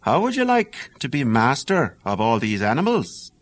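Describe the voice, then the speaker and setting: A man's voice: medium pitch, huge pitch swings, moderate pace. A man with an Irish accent voicing a sly little magical man in a read-aloud fairy tale, coaxing and bargaining.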